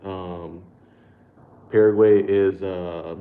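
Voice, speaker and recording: A man's voice: low-pitched, steady tone, extremely slow, a little hiss or hum. Laid-back American man on a tinny echoey stream. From United States. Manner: laid-back, laughs often, repeats words and fillers. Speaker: livestream host. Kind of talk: casual livestream chat reading super chats.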